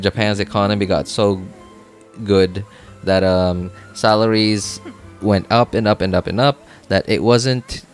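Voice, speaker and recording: Low-voiced man rambling casually over music. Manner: rambling, repeats phrases, often checks with "right?". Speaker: game livestreamer chatting with viewers. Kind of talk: casual livestream chat, thinking aloud.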